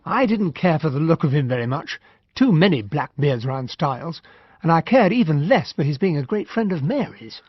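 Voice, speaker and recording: Casting: observant British officer-narrator, lightish voice, very varied intonation, genteel period radio mystery. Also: male voice, on the high side, normal speed, husky, no echo, dull audio. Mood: reflective. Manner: genteel, expressive. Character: courteous, observant army officer narrating a country-house mystery.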